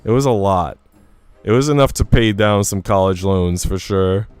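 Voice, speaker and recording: A man's voice: fairly low pitch, moderate pace, a little mellow, clean tone, no echo, faint music behind. A man livestreaming a game, talking casually with informal slang and reading story text aloud. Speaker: game streamer.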